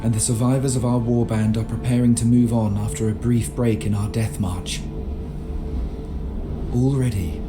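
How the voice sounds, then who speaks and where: An actor playing a solemn medieval chronicler narrating a game's campaign journal in a grave, measured way. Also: male voice, medium pitch, steady tone, natural voice. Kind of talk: scripted dramatic game narration. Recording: music behind narration.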